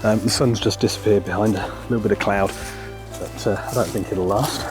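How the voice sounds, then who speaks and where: A man with a croaky voice from a cold, talking casually and hesitantly while walking in a forest. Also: lowish voice, brisk, over music. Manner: relaxed, hesitant, many ums. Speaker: photography vlogger. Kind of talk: casual walk-and-talk narration. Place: outdoors in a forest.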